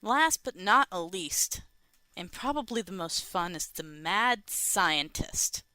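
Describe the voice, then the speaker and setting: Bright, lively, crisp-voiced woman hosting. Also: fairly low pitch, slow pace, no room sound. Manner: playful, addressing the listener directly. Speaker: podcast segment host. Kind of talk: scripted advice segment, informal.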